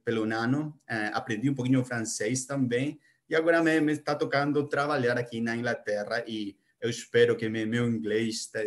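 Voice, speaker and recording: A middle-aged man with a Spanish accent, Spanish his first language, giving a conference talk in Portuguese as a project planner, halting with many restarts and fillers. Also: medium pitch. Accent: Spanish-speaking. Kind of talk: conference presentation.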